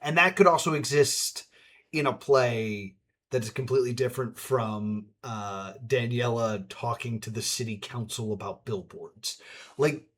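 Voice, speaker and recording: A man hosting a stream with casual commentary, halting as he thinks aloud. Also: mid-range voice, fairly bright tone. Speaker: streamer, host.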